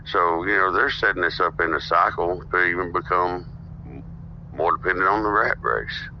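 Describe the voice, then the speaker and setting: A man with a very deep voice talks politics as a podcast guest. He explains his views earnestly and steadily. The recording sounds dull and lo-fi. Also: normal speed, slight background noise. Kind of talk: casual political discussion. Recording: dull lo-fi audio.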